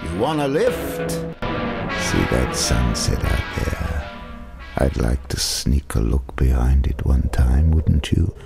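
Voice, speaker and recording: An actor playing a brooding cab driver who tells grim local history in a slow, measured radio play monologue, with faint noise behind. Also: male voice, extremely low pitch, creaky, darkish tone, exaggerated voice. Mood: musing.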